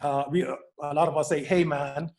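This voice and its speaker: A man's voice: on the high side, steady tone, normal speed, a little mellow. A man in his sixties with a Bahamian accent, talking casually as a panelist and repeating short phrases as he goes. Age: 60s.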